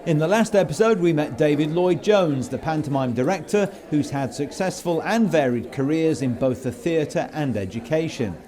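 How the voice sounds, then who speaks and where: A man's voice: on the high side, moderate pace, few pauses, a crowd in the background. A man presenting a podcast as host, in scripted narration and interview questions.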